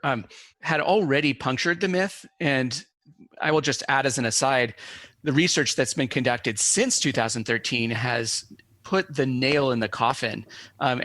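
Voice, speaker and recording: A man giving thoughtful scholarly interview answers as an academic guest, speaking in a measured, halting way with formal wording. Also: mid-range voice, pushed and bright, no echo. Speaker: academic guest, media historian.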